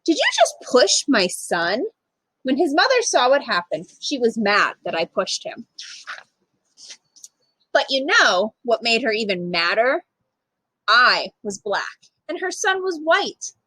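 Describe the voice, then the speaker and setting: A woman reading a children's picture book aloud as a storyteller, with emphatic, expressive delivery for young listeners. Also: on the high side, measured pace, dry recording, rough recording. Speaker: storytime reader, literacy program manager.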